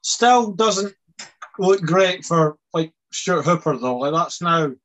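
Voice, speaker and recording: A young man co-hosting a podcast, mocking his friends with quick-fire jibes in casual banter. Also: fairly high pitch, lively intonation, a bit bright. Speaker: podcast co-host.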